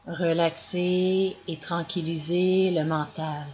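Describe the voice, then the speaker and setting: Very slow-paced woman guiding meditation, muffled recording. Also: fairly low pitch. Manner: slow, calm, measured instructions with long pauses. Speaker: yoga nidra guide. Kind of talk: guided meditation, scripted narration. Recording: dull lo-fi recording with clear background noise.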